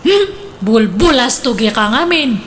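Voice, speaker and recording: Nagging wife, high voice. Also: female voice, animated, measured pace, a bit bright. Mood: discontented, needling. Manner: complaining, needling. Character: discontented, envious, nagging wife. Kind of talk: comedic radio drama acting.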